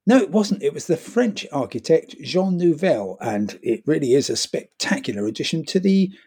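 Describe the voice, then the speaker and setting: A man's voice: a little high, very animated, moderate pace, warm tone, breathy edge. A man from London giving a wry, self-deprecating travel report, with frequent ums. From London, England.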